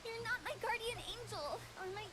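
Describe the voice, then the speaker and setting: A woman's voice: very high voice, lively intonation, normal speed, crowd noise. A woman voicing an emotional young woman in a dramatic anime visual novel. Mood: angry, hurt. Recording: game audio with noisy background.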